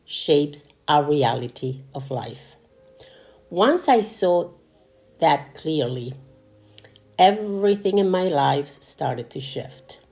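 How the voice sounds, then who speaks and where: Podcast host: woman in her sixties or older, Argentine Spanish accent, very low voice, unhurried delivery. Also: warm tone. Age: 60s or older. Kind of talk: personal motivational monologue.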